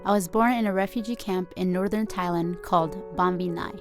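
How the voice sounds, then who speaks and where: A woman in her twenties, a student filmmaker, narrating her documentary in a calm, reflective, measured way. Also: lowish voice, faint music behind. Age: 20s.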